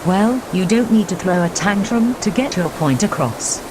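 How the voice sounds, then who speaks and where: A woman's voice: medium pitch, natural voice. A woman playing a prim, short-tempered storm reporter in an amateur audio drama. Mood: composed, curt. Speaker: weather reporter. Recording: steady rushing storm noise.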